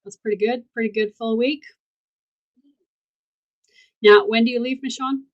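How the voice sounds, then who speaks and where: A woman's voice: fairly high pitch, fairly even intonation, unhurried, highs cut off. A woman hosting a casual online group call, talking in an affirming, spiritual way over a video call mic. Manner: warm, affirming, spiritual wording. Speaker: community call host.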